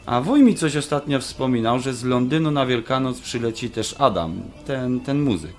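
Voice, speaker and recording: A medium-pitched man's voice played by an actor as an engaged grown son in a radio play, casual and conciliatory, with a trace of exasperation. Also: expressive, normal speed, faint music behind, lightly acted. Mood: exasperated, placating. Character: placating adult son, engaged, mildly exasperated. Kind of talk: radio drama acting.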